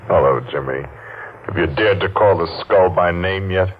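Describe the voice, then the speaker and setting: An actor playing a menacing masked man seeking revenge, taunting and deliberate, in 1940s radio acting style. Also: sits low, a little hiss or hum, lightly acted. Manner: taunting, slow and deliberate, laughs mockingly. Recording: muffled old radio recording.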